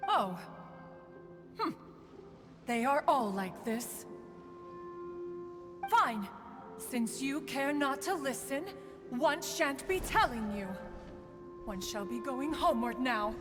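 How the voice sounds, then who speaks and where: An ancient crane guardian spirit for a fantasy game, haughty and measured. The delivery is slow and stately, in archaic formal phrasing. Long reverb surrounds the voice, with music underneath. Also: female voice, high-pitched, lively intonation. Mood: miffed. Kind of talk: scripted video game character acting.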